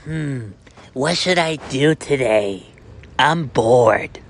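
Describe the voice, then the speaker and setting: A man voicing a hot-tempered, clingy young male frog in a homemade skit, whiny and quick to threaten. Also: medium pitch, lively intonation, creaky, a little hiss or hum, exaggerated voice. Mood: lovestruck.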